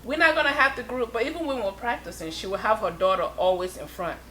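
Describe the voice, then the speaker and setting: A woman who is the guest in an interview, chatting casually about her life in music, laughing often and sending shout-outs to friends. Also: on the high side, quick pace, a little hiss or hum. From Europe.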